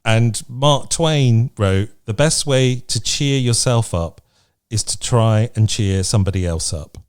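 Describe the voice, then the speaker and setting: A man's voice: mid-range voice, a little slow, darkish tone, clean tone. A man co-hosting a podcast in casual chat, with an encouraging, upbeat manner. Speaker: podcast co-host.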